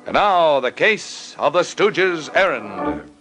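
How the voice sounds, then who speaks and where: A man's voice: on the high side, very animated, takes it slowly, over music. A man announcing and narrating a scripted 1950s radio show. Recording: noisy old radio recording.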